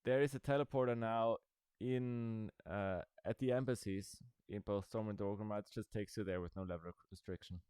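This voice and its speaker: A man's voice: fairly low pitch, steady tone, moderate pace, darkish tone, clean tone, no room sound. A man giving casual, rambling commentary over a game he is playing, with dry, sarcastic asides. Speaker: gaming video creator.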